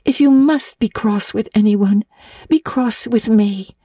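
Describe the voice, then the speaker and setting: Earnest, high-pitched little orphan girl. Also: slow, warm tone, husky, no echo, studio quality, exaggerated voice. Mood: earnest pleading. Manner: breathless, stammering, talkative, earnest. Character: eager, earnest, imaginative orphan girl, pleading politely.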